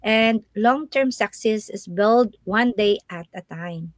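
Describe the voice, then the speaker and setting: A woman's voice: medium pitch, takes it slowly, dry recording. A woman from the Philippines reads a list of talking points aloud, one item at a time. Her voice sounds dry and close, with no room around it. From Iloilo, Philippines. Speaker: co-host.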